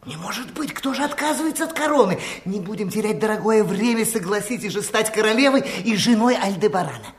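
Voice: mid-range voice, animated, fast talker, few pauses, natural voice. A performer playing a scheming, courtly suitor prince in a fairy-tale audio play, florid and gallant. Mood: gallant, wooing.

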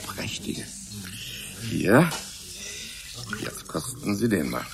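Slow, gravelly older gentleman. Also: low-pitched, wildly swooping pitch, a crowd in the background, heavily acted. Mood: pleased, jovial. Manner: drawn-out, savouring, avuncular. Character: smug, genial older gentleman boss, savouring secrets. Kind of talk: German radio drama acting.

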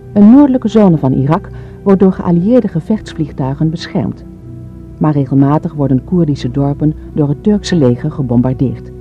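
A man's voice: fairly high pitch, lo-fi sound. A man reading scripted, factual narration in the style of a documentary. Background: music.

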